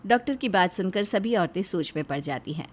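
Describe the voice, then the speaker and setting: A woman's voice: medium pitch, expressive, a little fast. Woman narrating a moral story.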